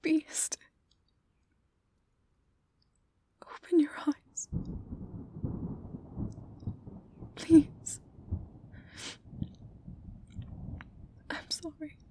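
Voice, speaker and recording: A woman acting a lovelorn young woman in an emotional fantasy audio roleplay, pleading in a breathy, halting way. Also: high voice, dark tone, no room sound. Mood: tearful, guilty. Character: young woman pleading tearfully for her beloved beast. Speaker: voice actress.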